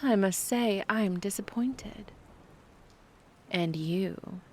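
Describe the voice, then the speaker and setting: A predatory female vampire villain acted by a woman, haughty and condescending. She drawls extremely slowly in a medium-pitched voice, savoring short phrases with mockery, in an ASMR roleplay with some background noise. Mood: mocking, intrigued.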